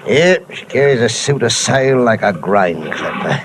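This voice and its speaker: A rambling old sailor with a boozy, folksy drawl, voiced by an actor for a vintage radio mystery. His voice rises and falls freely as he spins yarns in sea slang. Steady broadcast hiss sits under it. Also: mid-range voice, measured pace, lightly acted. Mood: garrulous. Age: older. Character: garrulous old waterfront sailor, hard-drinking, salty. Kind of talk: 1950s radio drama acting.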